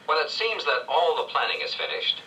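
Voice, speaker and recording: A man reading scripted 1970s educational narration with measured, clear storytelling phrasing. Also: a little high, sing-song, pushed and bright, far from the mic, rough recording. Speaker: read-along narrator. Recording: old read-along played back from afar, faint noise.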